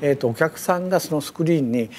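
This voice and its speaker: A man speaking reflectively in an interview as a performer, pausing often to search for words. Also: fairly high pitch, heavy background noise. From Japan. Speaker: performer and artist's collaborator. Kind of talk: reflective interview in short phrases.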